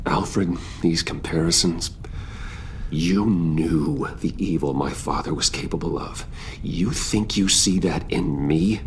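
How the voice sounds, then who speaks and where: An actor playing a brooding, determined vigilante in a video game drama, speaking in a serious, restrained, deliberate way. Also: male voice, low voice, a little hiss or hum. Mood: defensive. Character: brooding, determined man, masked vigilante and loyal son figure.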